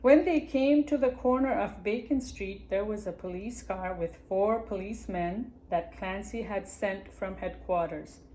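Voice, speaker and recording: A woman reads a children's picture book aloud in an unhurried, storytime manner, pausing now and then to address young listeners. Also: mid-range voice, warm tone, a little hiss or hum, low-quality recording. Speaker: library storytime reader.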